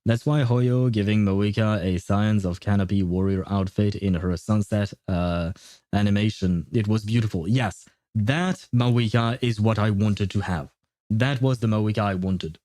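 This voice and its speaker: A man's voice: fairly low pitch, fairly even intonation, unhurried, dark tone, dry recording, clean studio sound. A man chatting casually as a game livestream host, rambling and opinionated. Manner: rambling, opinionated, often chuckling. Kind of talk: casual gaming livestream chat.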